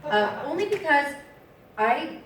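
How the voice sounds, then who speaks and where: Raspy woman, voice actress, casual and joking, echoey hall, distant. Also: high-pitched, sing-song, takes it slowly, background noise. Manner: casual, laughing, self-deprecating. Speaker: voice actress, convention guest. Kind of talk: panel Q&A answers. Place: large convention hall.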